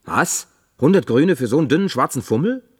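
A man's voice: on the high side, animated, fairly quick, breathy edge. A man dubbing a wary, down-to-earth man who answers in a skeptical, curt way. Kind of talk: German film dub.

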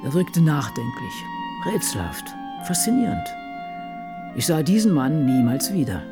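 Radio play: brooding female novelist, late sixties, dry diary musing with lively intonation, light music underneath. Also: sits low, measured pace, heavily acted. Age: 60s. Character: dour older woman novelist musing over her life.

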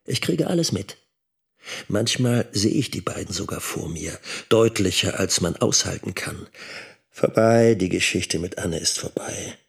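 An abandoned middle-aged teacher and worried father in a radio play. He has a lowish voice with a husky grain and sounds burdened and anxious. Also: expressive, measured pace, exaggerated voice. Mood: pained, brooding. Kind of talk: radio drama monologue and dialogue.

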